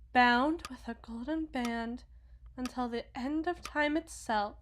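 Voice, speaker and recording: Casting: woman to play a cool, scheming female demon for ASMR audio drama; formal, unhurried delivery over noticeable background noise. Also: a little high, a bit bright. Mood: sly, formal. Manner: slow, formal, deliberate, theatrical phrasing. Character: cool, possessive female demon proposing a marriage contract. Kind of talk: ASMR roleplay, scripted dramatic monologue.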